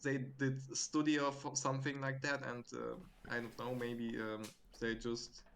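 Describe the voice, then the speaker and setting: A man who speaks English as a second language chats before a match as co-caster. He talks hesitantly, pausing on fillers and laughing softly. His pitch sits in the middle range. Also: completely flat delivery, creaky, a little hiss or hum. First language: not English. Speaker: esports co-caster. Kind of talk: casual pre-show chat.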